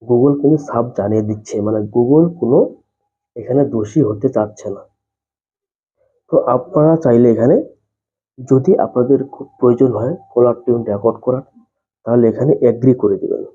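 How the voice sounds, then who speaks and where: A man's voice: medium pitch, moderate pace, long pauses, warm tone, slightly rough. A man narrating a step-by-step phone tutorial, explaining things repetitively and addressing viewers directly. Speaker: tech tutorial host. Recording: muffled, dull lo-fi voice-over.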